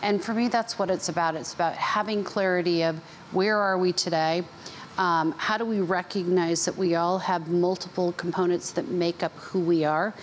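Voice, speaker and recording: A woman's voice: on the low side, fairly even intonation, normal speed, slight background noise. A woman speaking off the cuff as a panelist, emphatic and repeating her key phrases. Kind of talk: unscripted panel talk.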